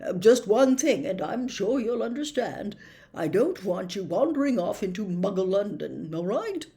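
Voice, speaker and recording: Woman putting on a blustering, animated government minister. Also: mid-range voice. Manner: effusive, hesitant, hemming and throat-clearing. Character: blustering, jovial middle-aged male government minister, falsely hearty. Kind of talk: audiobook character reading.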